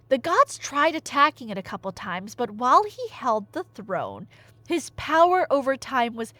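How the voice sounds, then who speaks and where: Young woman, high voice, swooping intonation, casual theatrical storytelling, emphatic, dry wit. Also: a bit bright, dry recording. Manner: chatty, theatrical, emphatic, with dry humor and dramatic pauses. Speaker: audio storyteller playing a nerdy friend. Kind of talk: casual dramatic retelling of myth, as ASMR roleplay.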